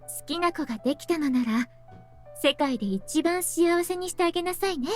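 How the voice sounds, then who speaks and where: Very high, theatrical anime female. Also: lively intonation, rapid-fire. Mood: teasing, affectionate. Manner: theatrical, mock-dramatic. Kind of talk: Japanese visual novel voice acting. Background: game music.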